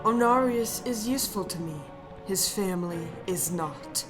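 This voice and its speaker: Cartoon dragon queen, female actor: deliberate, mock-polite menace, smug and regal, unhurried delivery over soft music. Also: mid-range voice, close to an everyday voice. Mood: cold, menacing. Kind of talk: animated fantasy drama acting.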